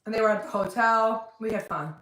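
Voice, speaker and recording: A woman's voice: fairly high pitch, a little fast, a bit bright, far from the mic. Chatty New Jersey woman, echoey room. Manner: chatty, blunt, rambling. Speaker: podcast co-host. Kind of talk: casual chat.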